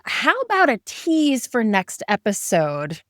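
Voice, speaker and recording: A woman's voice: fairly high pitch, huge pitch swings, slow pace, no room sound, clean studio sound. A woman hosting a podcast in a conversational style, commenting and putting questions to guests. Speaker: podcast host.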